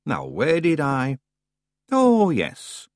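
A man's voice: on the high side, huge pitch swings, no echo, clean studio sound, pitched above the performer's own voice, exaggerated voice. Man doing a nosy old village postmistress. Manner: slow, fussy, nosy.

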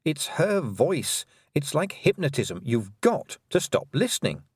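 A man's voice: fairly high pitch, very animated, unhurried, slightly rough, no room sound, studio quality, voice pushed up, heavily acted. A man reading an audiobook, voicing the insistent, logical inner thoughts of a young girl, urging and reasoning. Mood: urgent. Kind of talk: audiobook character voice.

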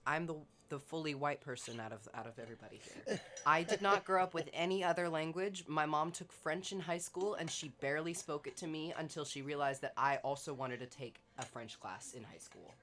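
A young woman on a podcast, telling stories in an animated way with many restarts and fillers. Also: low voice, fairly quick, a little hiss or hum. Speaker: podcast co-host. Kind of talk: casual chat.

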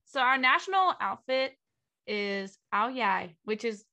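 A woman's voice: on the high side, animated, unhurried, pushed and bright. Young woman chatting hesitantly on a podcast. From Vietnam. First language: Vietnamese. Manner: hesitant, many fillers and restarts. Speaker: podcast co-host. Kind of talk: casual podcast chat.

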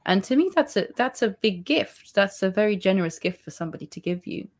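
A woman author speaking in a reflective interview, thoughtful and measured, often restarting her phrases and laughing softly. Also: mid-range voice, lively intonation. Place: Spain.

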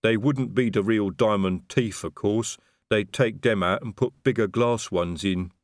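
A man voicing a big, blunt male troll bodyguard with rough grammar and th said as d or t, in a storybook reading. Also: on the low side, unhurried, dry recording, studio quality, close to an everyday voice. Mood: calm, matter-of-fact.

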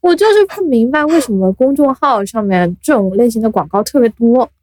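Animated high-voiced woman, casual and blunt. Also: a little fast, no echo. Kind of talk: casual chat.

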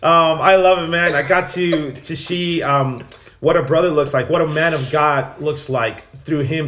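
A man's voice: a little high, a bit bright, slight background noise. A young man from Texas sharing his faith story in casual, earnest talk, full of fillers and restarts. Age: young adult.

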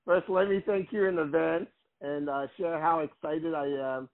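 A man's voice: a little high, fairly even intonation, moderate pace. A man who is a pastor hosts a podcast interview, chatting in a friendly way and laughing easily. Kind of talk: casual interview. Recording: tinny phone-like line.

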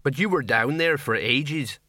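A man voicing a big, self-important, slow-witted police sergeant in a storybook, with a ponderous, officious manner. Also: mid-range voice, expressive, sharp tone, dry recording, studio quality. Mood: baffled, uncertain.

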